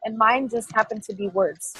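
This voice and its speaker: Husky earnest woman author. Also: on the high side, normal speed, pushed and bright, rough recording. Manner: earnest, searching, restarts phrases. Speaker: author being interviewed. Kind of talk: candid conversational interview.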